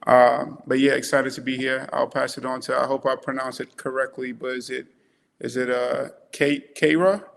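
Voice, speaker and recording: A man from Trinidad in his thirties, introducing himself on a panel in a relaxed, halting way with many fillers. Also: medium pitch, creaky. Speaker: panelist, influencer marketer.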